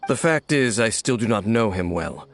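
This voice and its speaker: An actor playing a stern, world-weary ancient warrior in a video game, grave, measured and formal, with a gravelly voice. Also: male voice, lively intonation, music in the background. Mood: brooding, reflective. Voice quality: low, gravelly.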